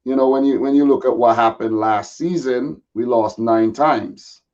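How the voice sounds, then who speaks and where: Male football guest, casual chat, slightly raspy, laid-back, many verbal fillers, muffled lo-fi recording. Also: medium pitch, normal speed, a little mellow. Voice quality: a little raspy. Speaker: guest football pundit, Manchester City fan. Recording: dull, lo-fi audio.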